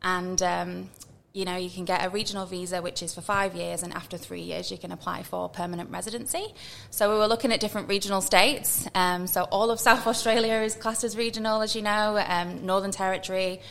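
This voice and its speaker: A woman's voice: medium pitch, steady tone, gravelly, a bit bright. A woman from Manchester with a Northern English accent, chatting as a podcast guest in a bubbly, talkative way. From Manchester, England. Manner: chatty, bubbly, quick asides. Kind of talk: casual interview chat.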